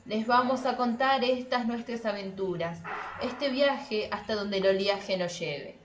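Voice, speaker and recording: A woman's voice: a little high, unhurried. A woman with an Argentine Spanish accent co-hosting a casual literary podcast in a colloquial way, repeating phrases for emphasis. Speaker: podcast co-host. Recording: echoey room, faint background noise.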